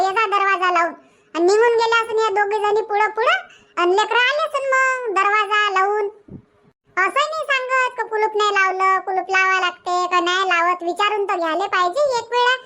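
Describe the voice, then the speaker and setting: A performer voicing an excitable, complaining young village wife and mother in a Marathi cartoon comedy, with a childlike voice and a Varhadi accent from Vidarbha. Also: very high voice, a little fast. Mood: indignant, nagging. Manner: nagging, grumbling, exclamatory.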